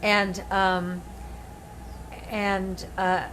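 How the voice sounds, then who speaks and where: An older woman hosting her show, telling stories in an animated, emphatic way with many restarts. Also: medium pitch, very slow, slight background noise, poor audio. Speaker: show host and author. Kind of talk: casual chat.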